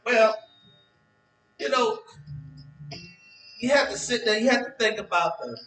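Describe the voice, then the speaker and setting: A man's voice: very high-pitched, expressive, measured pace, bright, forceful tone, a little hiss or hum, exaggerated voice. An actor playing a sly, gossipy, mischievous man in a stage play, spinning folksy anecdotes in an American accent. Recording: echoey stage, distant mic, music.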